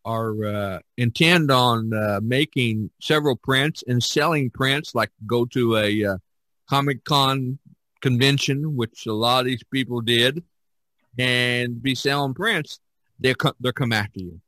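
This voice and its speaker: A man's voice: mid-range voice, a little slow, bright, forceful tone, no room sound. An older man hosting a podcast in a casual, rambling, anecdotal way, often repeating his phrases. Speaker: podcast host.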